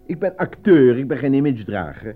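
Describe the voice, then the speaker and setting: An actor in a Dutch radio play voicing a proud, defensive, self-important out-of-work actor and husband. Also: male voice, mid-range voice, very animated, fairly quick, breathy edge. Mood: indignant pride. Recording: dull lo-fi radio recording, faint music.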